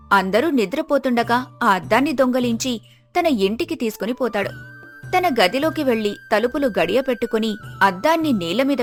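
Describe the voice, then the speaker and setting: A woman's voice: on the high side, fairly bright tone. A woman reading scripted narration of a moral folk tale as the storyteller.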